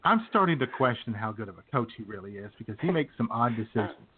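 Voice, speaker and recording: A man's voice: fairly low pitch, sing-song, quick pace, husky. A man co-hosting a radio show, chatting casually about sports. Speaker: co-host of a comedy radio show. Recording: tinny phone-like narrow band.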